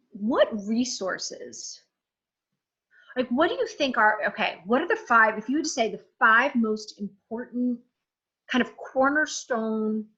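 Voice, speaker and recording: Frank, animated woman in her forties. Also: on the high side, lo-fi sound. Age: 40s. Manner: animated, frank, swears freely, restarts often. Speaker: host. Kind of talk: casual conversation.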